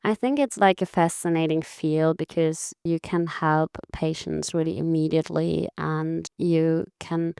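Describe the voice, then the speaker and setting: A woman's voice: sits low, steady tone, unhurried, vocal fry, hardly any pauses, a little mellow, clean tone, no echo, clean studio sound. A young woman, a medical student carefully explaining her research in an interview. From Germany. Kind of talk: interview answers.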